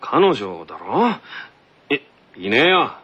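Man playing brash teenage tennis boy, raspy voice. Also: mid-range voice, wildly swooping pitch, slow, voice pushed down, exaggerated voice. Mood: awkward, gruff. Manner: blunt, rough boyish talk. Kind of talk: anime character radio skit. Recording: dull lo-fi radio recording.

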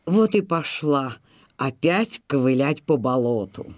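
A woman telling a scripted folk tale in an expressive, folksy, measured storytelling manner. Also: a bass voice, no echo, clean studio sound.

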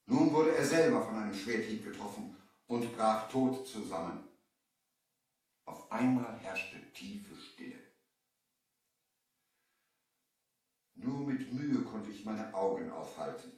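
A solemn traveler tells his eerie tale in an old radio play. The voice is fairly low and deliberate, coming from a distance with very heavy echo. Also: lively intonation, a little mellow. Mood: grave, dazed. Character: grave male traveler narrating his own ghost-ship ordeal. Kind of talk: dramatic storytelling in a radio play. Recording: echoey distant old vinyl record.

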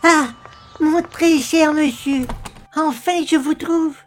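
A woman's voice: high voice, steady tone, takes it slowly, a crowd in the background, noisy background. A woman playing a fussy, anxious and overly devoted acquaintance with florid, hesitant formal speech, in old radio comedy style. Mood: fretful, effusive.

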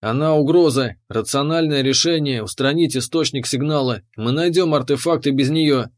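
A man's voice: mid-range voice, normal speed, close to an everyday voice. A man voicing a cold, calculating imperial officer who lives in the hero's head, clinical and matter-of-fact, in an audiobook. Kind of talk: audiobook character voice.

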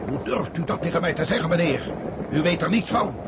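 An actor in a radio drama playing a proud, stubborn Russian commander-in-chief who speaks in a haughty, declamatory way. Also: male voice, on the high side, animated, fairly quick. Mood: indignant. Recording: heavy outdoor battlefield noise behind.

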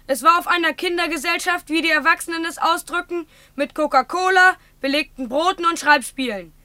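A woman's voice: extremely high pitch, fairly quick, sharp tone, no room sound. A woman playing a stern, pompous child who formally reads out a court record in a German radio play. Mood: officious, stern. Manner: officious, formal recitation.

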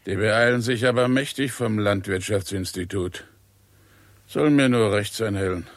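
An actor playing a placid, slow-witted village gardener in a 1960s German radio drama, unhurried and unimpressed. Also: male voice, lowish voice, dry recording.

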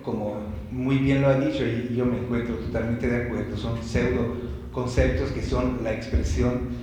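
A man's voice: mid-range voice, flat delivery, moderate pace. A man from Italy with an Italian accent giving a public talk in Spanish, often restarting and repeating words. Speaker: co-speaker at a talk. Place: library event room. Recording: very echoey room, faint background noise.

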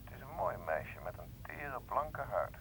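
An actor playing a brisk hospital doctor, reporting clinically to a colleague in a Dutch radio drama on an old recording. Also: male voice, big pitch swings, fairly bright tone, exaggerated voice, low-quality recording. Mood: brisk, matter-of-fact. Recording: old radio recording, faint rumbling noise.

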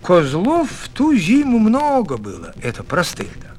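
A man's voice: high-pitched, wildly swooping pitch, unhurried, darkish tone. A man narrating a folk tale expressively, in a folksy, theatrical storyteller's way.

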